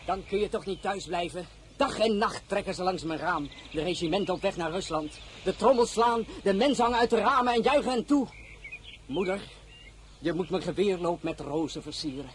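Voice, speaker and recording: An actor playing an ardent young soldier, eager for glory, in an excitable, declamatory radio drama style. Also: male voice, high-pitched, normal speed, slight background noise. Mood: fervent. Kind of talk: Dutch radio drama acting.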